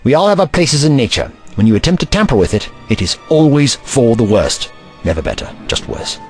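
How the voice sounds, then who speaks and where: A man acts out a learned, eccentric time traveller in a sci-fi audio drama. He lectures in precise, old-fashioned words, protective and easily indignant, with pitch that swoops dramatically. Music plays along. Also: very animated, brisk, darkish tone, close to an everyday voice. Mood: lecturing. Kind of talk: science fiction audio drama acting.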